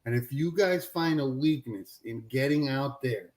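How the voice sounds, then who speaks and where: A man hosting a live stream with energetic, hype-style shout-outs, bringing guests in and out. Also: mid-range voice, measured pace. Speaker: host.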